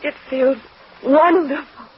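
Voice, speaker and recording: High-pitched, distraught young heiress. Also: female voice, slow. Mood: shaken relief. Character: distraught twenty-three-year-old heiress, bewildered and frightened. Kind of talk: old-time radio melodrama acting. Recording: muffled, lightly noisy old radio recording.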